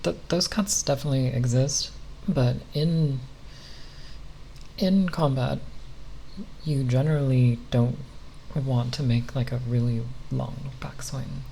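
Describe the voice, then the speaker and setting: A soft-spoken ASMR creator rambling unscripted, halting and often pausing mid-phrase. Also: mid-range voice, fairly even intonation, vocal fry, a little hiss or hum, low-quality recording.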